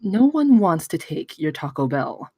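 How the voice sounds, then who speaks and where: A blunt, businesslike woman played by a woman at a comedy script read. The voice is rough and husky with lively pitch movement, recorded dry. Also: measured pace, no echo, exaggerated voice. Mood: firm. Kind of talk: comedic screenplay table read.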